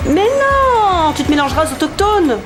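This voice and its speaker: A woman voicing a shrill bossy cartoon schemer. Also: very animated, moderate pace. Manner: bossy and insistent. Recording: music bed behind.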